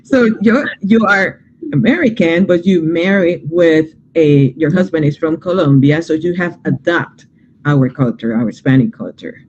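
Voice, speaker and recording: A man's voice: a little high, a little slow, a little mellow. A man hosting an interview, talking in a friendly, informal way over a video call. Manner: friendly, informal, laughs easily. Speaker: interview host. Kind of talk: casual interview chat. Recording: dull lo-fi audio with faint noise.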